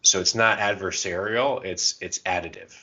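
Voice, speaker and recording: Low, bright-voiced man chatting casually. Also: normal speed, muffled recording. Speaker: podcast co-host. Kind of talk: casual chat about tabletop roleplaying.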